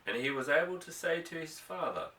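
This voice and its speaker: A man's voice: fairly high pitch, animated, brisk, bright, forceful tone, a little hiss or hum. A man reading a novel aloud as scripted narration, with dramatic, emphatic phrasing, recorded in a roomy space away from the microphone. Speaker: audiobook reader. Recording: roomy echo, distant microphone.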